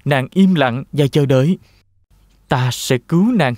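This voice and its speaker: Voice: mid-range voice, unhurried, darkish tone, no room sound, clean studio sound, faint music behind, lightly acted. An actor playing an earnest, polite young male hero in a children's cartoon dub, speaking formally. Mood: determined. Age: young adult.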